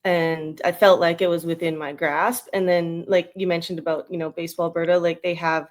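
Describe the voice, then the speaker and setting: Female, thirties, western Canadian accent. Lowish voice, casual and meandering interview guest. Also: level tone, a little fast. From Edmonton, Canada. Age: 30s. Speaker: interview guest, retired national team baseball player.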